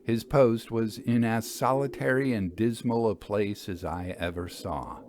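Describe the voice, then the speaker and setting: A man reading literary narration as a storyteller, with measured, deliberate phrasing. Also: on the low side, a little mellow, slight background noise. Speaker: storyteller, podcast host.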